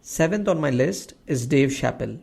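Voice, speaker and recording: A man's voice: medium pitch, lively intonation, normal speed, creaky, very noisy. A man reading a countdown list of comedians as a voice-over, in plain announcing tones. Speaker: list narrator.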